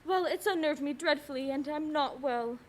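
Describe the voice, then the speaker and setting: Woman acting a high-pitched, fretful, imperious wealthy wife. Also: normal speed, a little hiss or hum, natural voice. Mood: agitated, fretful. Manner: haughty, agitated, insistent. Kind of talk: suspense radio play acting.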